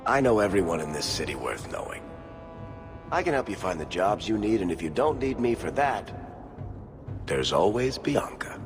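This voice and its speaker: A man's voice: on the low side, very animated, normal speed. An actor voicing a roguish male dwarf in a video game, wry, confident and persuasive. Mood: wry, teasing. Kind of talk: video game dialogue acting. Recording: game music behind the voice.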